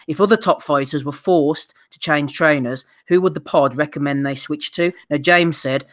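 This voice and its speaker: A man's voice: on the high side, fairly bright tone. A man hosting a casual boxing podcast, who says he is losing his voice and reads out listener questions. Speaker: podcast host.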